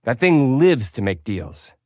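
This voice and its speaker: Frank, lively-pitched confidant. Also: male voice, measured pace, no room sound, studio quality. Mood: frank, calm. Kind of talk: dramatic video game character acting.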